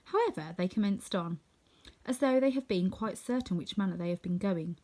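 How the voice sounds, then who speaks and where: A woman narrating a children's storybook in an expressive, scripted reading. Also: medium pitch, moderate pace. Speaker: storybook reader.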